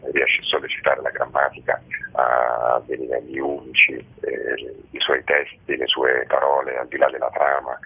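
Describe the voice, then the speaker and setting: A man giving halting, measured, reflective answers as a theatre director interviewed over a tinny telephone line. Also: very deep voice, husky. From Rome, Italy. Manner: halting, measured, with pensive pauses. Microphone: phone call.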